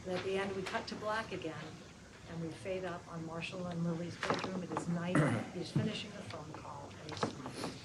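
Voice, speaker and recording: A woman's voice: fairly low pitch, steady tone, fairly quick. A woman reading stage directions aloud as the scripted narrator of a table read. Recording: noisy live room ambience.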